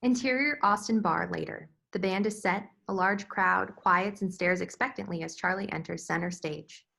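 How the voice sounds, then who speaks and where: A woman's voice: medium pitch, moderate pace, gravelly, a bit bright, breathy edge. A woman reading scripted screenplay stage directions as narrator.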